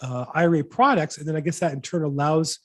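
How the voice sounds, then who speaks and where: A man's voice: fairly high pitch, fast talker. A man hosting a weekly real estate video call, upbeat and enthusiastic, asking long rambling questions. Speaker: host, real estate broker. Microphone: video call mic.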